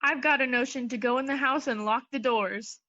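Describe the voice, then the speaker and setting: A woman's voice: sits high, steady tone, fairly quick, pushed and bright, lo-fi sound. An actress playing an anxious, cautious young woman in a 1940s-style radio play. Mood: nervous.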